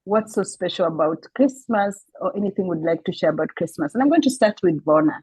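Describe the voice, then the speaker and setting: A woman's voice: fairly low pitch, expressive, brisk, a little mellow, slightly rough, no echo, poor audio. A woman hosting a casual faith-based group chat, introducing friends and encouraging listeners. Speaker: host of a women's group talk.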